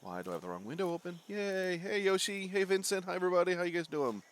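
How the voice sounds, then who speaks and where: A man's voice: high-pitched, animated, quick pace, slight background noise. A man streaming a video game, nasal and stuffed up with a bad cold, rambling and joking as he reacts to the game. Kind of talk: live gameplay commentary. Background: game music.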